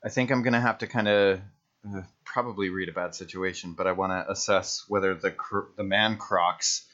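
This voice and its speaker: Male American tabletop player, lowish voice, casual and tentative, a little dull on the recording. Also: steady tone, normal speed, a bit bright. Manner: hesitant, thinking aloud. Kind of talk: casual tabletop game chat.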